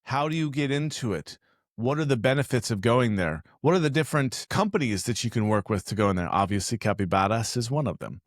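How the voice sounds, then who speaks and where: A man's voice: medium pitch, animated, fairly quick, no room sound, clean studio sound. A man from Southern California hosting a casual interview podcast, chatty and joking as he rambles. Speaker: podcast host.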